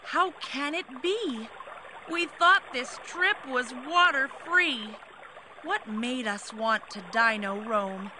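A woman's voice: high-pitched, animated, takes it slowly, noisy background. A woman voicing adventurous dinosaurs from a children's storybook, with dramatic exclamations. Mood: dismayed. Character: excitable band of adventurous travelling cartoon dinosaurs.

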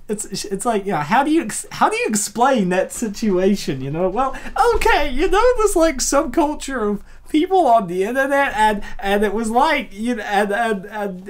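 A woman hosting a comic dub with casual, rambling, excitable reaction commentary. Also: mid-range voice.

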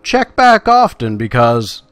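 A man's voice: mid-range voice, sing-song, slow pace, fairly bright tone, no room sound, faint music behind. Playful man commentating on his game. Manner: playful, chatty, talking to himself and viewers. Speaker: gaming video maker.

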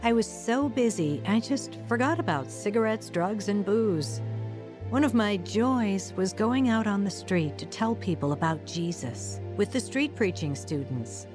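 A woman's voice: medium pitch, expressive, a little slow, over music, lightly acted. An actress as an earnest born-again woman telling her story. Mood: reflective. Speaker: lead actress and first-person narrator. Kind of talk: dramatized radio testimony narration.

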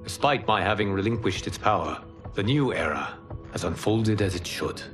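Man playing a calm, commanding protector god. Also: on the low side, over music, close to an everyday voice. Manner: calm, measured, authoritative. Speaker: video game character. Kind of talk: game cutscene acting. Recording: game audio with loud score.

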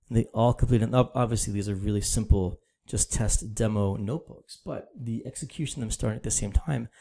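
Gravelly, dark-voiced man explaining software. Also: mid-range voice. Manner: casual, explanatory, steady walkthrough. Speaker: product demo presenter. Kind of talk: screen-recorded software tutorial.

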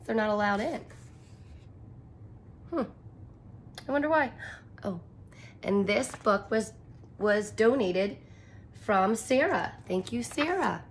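Female teacher, 30s, unhurried expressive storytime read-aloud with simple explanations, slightly noisy home recording. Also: medium pitch. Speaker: elementary teacher reading aloud. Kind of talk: picture book read-aloud with commentary for young children. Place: small furnished room indoors.

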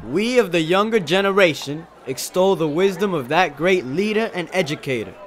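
A man's voice: a little high, animated, a little slow, a little hiss or hum. A man playing an earnest, deferential young college student in a dramatized first-person reading of a novel. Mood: fervent. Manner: earnest, respectful, oratorical when addressing a crowd.

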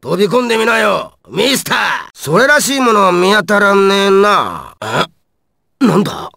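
An actor playing a hot-tempered male ice-wielding villain in an anime video game, with a coarse, raspy, gravelly, snarling delivery. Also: high-pitched, sing-song, moderate pace, sharp tone, dry recording, clean studio sound, full character voice. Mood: scheming.

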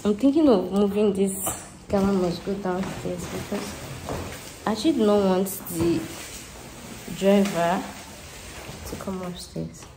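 A woman's voice: medium pitch, a little slow, pauses often. A young Nigerian woman with a Nigerian accent, chatting to viewers while packing and dropping in Yoruba phrases, filmed on a handheld camera. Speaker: vlogger. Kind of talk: casual vlog chat. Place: large empty house with tiled floors, indoors. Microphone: handheld camera at arm's length. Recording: echoey empty room with clear noise.

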